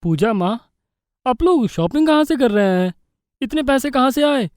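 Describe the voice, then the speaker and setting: A woman's voice: high-pitched, expressive, moderate pace, darkish tone, dry recording, studio quality. A woman voicing a concerned young adult son in a moral story, earnest and questioning. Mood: suspicious.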